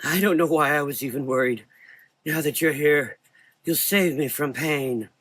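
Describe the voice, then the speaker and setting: A man plays a frail old doctor in a gothic horror audio drama, anxious and pleading. His voice is pushed high with fear, bright and tense, in a dry recording. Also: normal speed, no echo. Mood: relieved.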